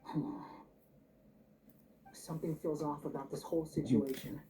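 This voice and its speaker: A woman's voice: low-pitched, a little slow, dark tone. A woman voicing a blunt, brooding young loner in a video game, terse and dry but grudgingly helpful. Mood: suspicious. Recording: distant, noisy captured game audio.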